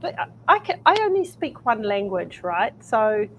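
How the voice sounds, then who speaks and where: A woman's voice: medium pitch, sing-song, moderate pace, a little hiss or hum, low-quality recording. Enthusiastic woman guest, conversational. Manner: enthusiastic, uses "you know" and "right?". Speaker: guest speaker. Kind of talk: casual interview chat.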